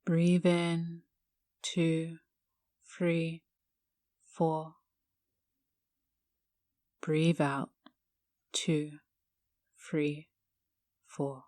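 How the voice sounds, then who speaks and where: A woman guiding a meditation in a hushed, soothing, measured manner with long pauses. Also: low-pitched, level tone, dry recording, clean studio sound. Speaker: yoga teacher guiding a meditation. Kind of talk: guided meditation narration.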